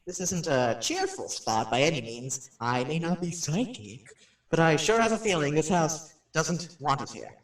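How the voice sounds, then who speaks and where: Jumpy, animated radio showman, roomy sound. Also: on the high side, moderate pace. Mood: uneasy, confiding. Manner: folksy, addressing listeners directly. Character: jumpy, chatty radio showman touring a haunted house. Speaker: actor playing a radio personality. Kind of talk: radio drama acting. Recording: roomy, slightly distant microphone.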